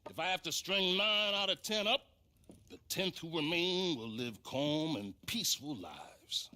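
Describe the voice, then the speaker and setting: A man's voice: fairly high pitch, lively intonation, measured pace, bright, forceful tone, full character voice. A man playing a stern, menacing frontier lawman in a game cutscene, talking in a grandiose, theatrical way. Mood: grim, grandiose. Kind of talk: dramatic game cutscene monologue.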